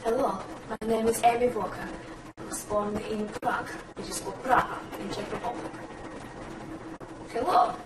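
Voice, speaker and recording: A woman in her twenties introducing herself again and again, switching quickly between imitated accents. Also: fairly high pitch, fairly even intonation. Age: 20s. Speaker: accent performer. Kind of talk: accent demonstration video. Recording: distant echoey playback with noise.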